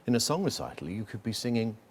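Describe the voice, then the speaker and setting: A man presenting a live concert broadcast from a concert hall, speaking in a measured, formal way. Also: on the low side, very animated, slight background noise.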